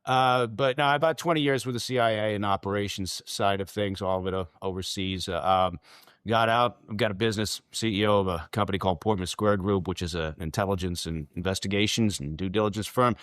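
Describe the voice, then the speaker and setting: A man's voice: lowish voice, fairly even intonation, brisk, a bit bright, clear, resonant tone, no echo, studio quality. A man guest in an interview, easygoing and joking as he introduces himself. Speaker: guest, former intelligence officer. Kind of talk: casual interview chat.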